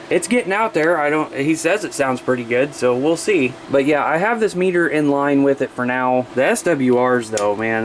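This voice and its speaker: A man's voice: medium pitch, slight background noise, low-quality recording. A man from the US Midwest chatting casually to viewers as a hobbyist, rambling and plain-spoken while he demonstrates things. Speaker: hobbyist vlogger.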